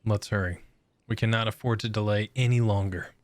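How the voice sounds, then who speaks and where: A man's voice: lowish voice, steady tone, a little fast, a little mellow, dry recording. A man doing casual, playful gameplay commentary and reading dialogue choices aloud into a close microphone. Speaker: gaming video creator.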